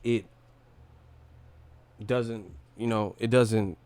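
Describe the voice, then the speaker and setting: A man's voice: fairly low pitch, fairly even intonation, extremely slow, darkish tone, clear, resonant tone. A man hosting a casual livestream fight breakdown, blunt and opinionated, with slangy talk and plenty of swearing. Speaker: livestream host, boxing commentator.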